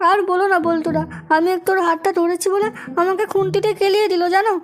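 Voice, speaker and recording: A man voicing a hapless, hot-headed young troublemaker in an amateur comedy cartoon, whiny and excitable, with a raised-pitch effect. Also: quick pace. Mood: indignant.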